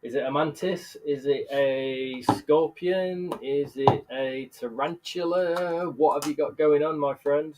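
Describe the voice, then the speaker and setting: A man's voice: a little high, takes it slowly, hardly any pauses, heavy background noise. A man in his thirties with a blocked-up, nasal voice from illness, rambling casually to livestream viewers and laughing often. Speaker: live streamer and bug keeper. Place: bug room, indoors.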